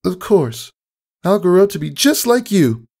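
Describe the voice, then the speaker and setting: A man voicing a young, adoring, tearful pegasus filly in a thin, strained falsetto for a storybook reading. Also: sing-song, a little slow, gravelly, a little mellow, breathy edge, heavily acted. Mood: tearful, hopeful.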